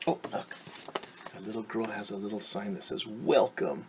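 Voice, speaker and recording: A man's voice: lowish voice, normal speed, noisy background. A man teaching young children at story time, reading aloud and explaining in simple, encouraging words with questions to his listeners.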